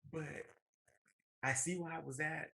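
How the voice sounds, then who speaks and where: American man, 30s, movie show co-host. Bright, punchy voice, emphatic opinions, casual and enthusiastic delivery. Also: fairly high pitch, steady tone, a little slow, gravelly.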